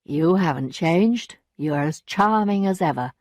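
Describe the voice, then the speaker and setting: A woman reading an audiobook, voicing a calm, detached gentleman who speaks quietly and smoothly. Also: fairly low pitch, unhurried, no echo, clean studio sound. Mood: cool. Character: smooth, cool gentleman, former lover.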